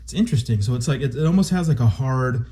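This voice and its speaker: A man recovering from a cold and a little stuffy, chatting excitedly to viewers as he unboxes and reviews a toy. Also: medium pitch, quick pace.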